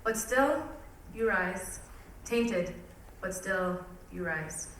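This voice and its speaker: A young woman reciting a spoken word poem at a community event, with a measured, solemn delivery. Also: mid-range voice, expressive, fairly bright tone. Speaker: poet performing at a cultural event. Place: large event hall. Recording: echoey hall from far off, faint noise.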